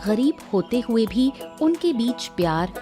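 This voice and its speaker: A woman's voice: on the high side, very animated, a little slow, over music. A woman narrating a scripted moral story as the storyteller.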